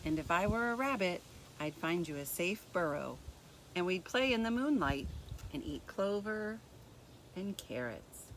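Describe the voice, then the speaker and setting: A woman reading a picture book aloud as a story time host, speaking deliberately and expressively to young children. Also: on the low side, a little hiss or hum, rough recording. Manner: deliberate, expressive, addressing young listeners directly.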